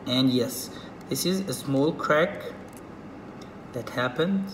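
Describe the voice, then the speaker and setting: A man from Egypt with an Arabic accent, whose first language is Arabic. He speaks in a casual, halting way with many fillers, demonstrating something at a table indoors. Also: mid-range voice, reverberant, noisy background. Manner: halting, with frequent fillers and restarts. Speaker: reptile keeper.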